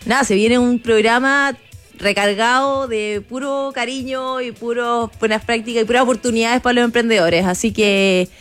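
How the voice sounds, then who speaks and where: A woman's voice: fairly high pitch, steady tone, normal speed, faint music behind. Effusive talk-show co-host woman. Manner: warm, effusive, rambling with fillers and restarts. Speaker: co-host, program leader. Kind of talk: casual talk-show chat.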